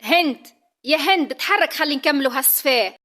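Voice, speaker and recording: A woman with a Tunisian accent voicing a stern older father who scolds his daughter slowly and firmly. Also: high-pitched, expressive, bright, forceful tone, voice pushed up. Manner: slow, emphatic scolding.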